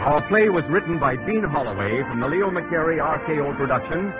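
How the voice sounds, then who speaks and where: A man announcing credits and sponsor notices on a 1940s radio broadcast with crisp, emphatic delivery. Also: on the high side, animated, fairly quick, narrow, tinny audio, over loud music.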